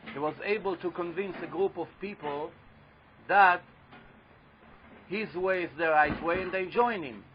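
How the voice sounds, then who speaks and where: A man with a loud voice giving a religious talk to a live audience, telling a story and putting questions to the listeners. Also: a little high, slight background noise. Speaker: religious teacher speaking to an audience. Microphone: handheld or stand microphone. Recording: dull lo-fi live recording.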